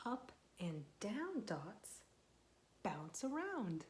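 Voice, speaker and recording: A young woman, a children's librarian, reading a picture book aloud to small children, gentle and patient, asking them questions and waiting for their answers. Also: mid-range voice, big pitch swings, drawn-out words, a little hiss or hum.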